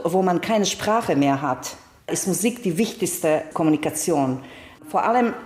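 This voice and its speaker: A woman's voice: on the low side, a little mellow. A middle-aged woman from the former Yugoslavia, speaking German as a second language as an interview guest, telling stories and often restarting sentences in search of words. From Yugoslavia, grew up in Priboj. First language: Serbo-Croatian. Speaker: interview guest, actress and novelist. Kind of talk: conversational interview. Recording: roomy echo.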